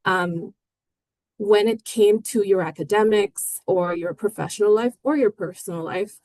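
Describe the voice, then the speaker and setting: A woman's voice: medium pitch, measured pace, darkish tone, no room sound. A woman from Bangladesh moderating a panel discussion, hesitant and full of fillers.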